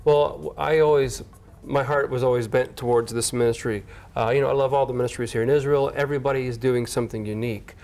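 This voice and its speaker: A friendly, energetic man in his thirties hosts a casual on-location interview. His voice sits at a middle pitch, and he chats freely with easy laughs. It is recorded on a lapel mic in an indoor church hall. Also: fast talker, few pauses. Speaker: TV host interviewer.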